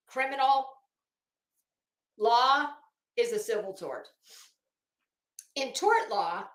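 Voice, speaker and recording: Woman, higher-pitched voice, casual livestream commentary, blunt and sardonic, roomy echo. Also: a bit bright. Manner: blunt, sardonic, salty-tongued. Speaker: livestream host. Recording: echoey room.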